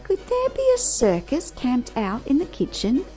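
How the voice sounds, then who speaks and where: Slow, animated woman storyteller. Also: high-pitched. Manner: expressive, rhythmic verse delivery. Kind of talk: children's picture book read-aloud. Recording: music behind the voice.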